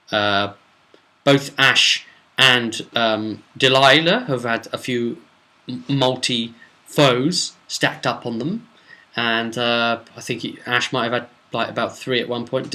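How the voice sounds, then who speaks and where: Male game master host, bright forceful voice at middling pitch, casual, discursive session recap. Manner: discursive, loosely strung asides.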